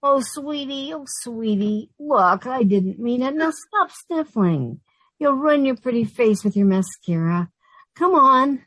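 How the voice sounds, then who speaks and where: A woman's voice: a little high, expressive, slow, fairly bright tone, dry recording, exaggerated voice, poor audio. Woman playing a wry, wisecracking radio sitcom secretary. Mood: fond, soothing. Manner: wry, sardonic quips. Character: wisecracking, exasperated but fond working woman, a secretary. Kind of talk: old-time radio sitcom acting.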